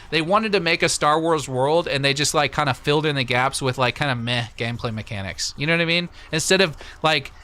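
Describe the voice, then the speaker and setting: Clear, bright-voiced American man chatting. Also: medium pitch. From Missouri, United States. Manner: conversational, opinionated. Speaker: livestream host. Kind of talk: casual livestream chat, answering viewer comments. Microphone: close desktop USB microphone.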